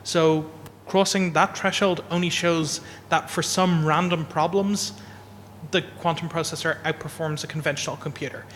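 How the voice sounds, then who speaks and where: Measured man answering in a reverberant hall. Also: on the high side, steady tone, fairly bright tone, a little hiss or hum. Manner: careful, measured explanation with fillers. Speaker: panelist. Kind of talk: answering a panel question. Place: event hall. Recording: reverberant hall with faint ambience.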